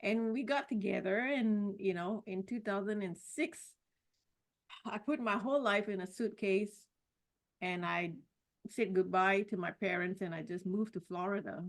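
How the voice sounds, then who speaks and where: A middle-aged woman from Singapore, a podcast guest telling her life story in a casual, reflective way with many pauses and restarts. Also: medium pitch. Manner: warm, reflective, with frequent "you know" and restarts. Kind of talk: personal story in a casual interview.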